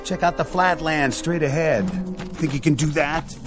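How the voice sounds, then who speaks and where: A man's voice: a little high, normal speed, over music, natural voice. An actor in a radio drama playing a stern military spaceman on recon, with a commanding, scolding manner. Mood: urgent, commanding.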